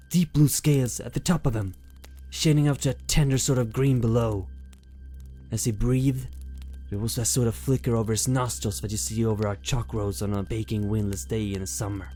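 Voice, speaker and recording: An actor playing a frightened, agitated country shepherd, rambling in folksy rustic speech in an amateur audiobook drama. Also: male voice, medium pitch, fairly even intonation, normal speed, dark tone, faint music behind, close to an everyday voice. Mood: nervous, wondering.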